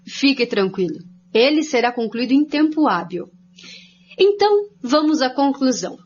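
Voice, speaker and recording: A woman's voice: high-pitched, big pitch swings, normal speed, darkish tone, a little hiss or hum. An actress playing an earnest woman expositor in a scripted radio drama, laying out points one by one in a didactic way. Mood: assured, methodical. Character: woman researcher Jacira, earnest, didactic study-group expositor. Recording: dull, lo-fi recording.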